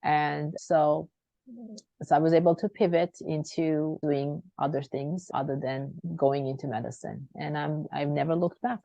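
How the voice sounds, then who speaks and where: A woman's voice: sits low, fairly even intonation, unhurried, creaky, mellow, no room sound. A middle-aged woman from Armenia, talking as an interview guest in a rambling way with many fillers and restarts. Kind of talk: conversational interview. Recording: dull lo-fi audio.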